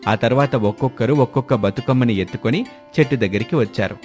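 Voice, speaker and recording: A man's voice: medium pitch, quick pace, darkish tone. A man reading a scripted story as its narrator, with music behind him.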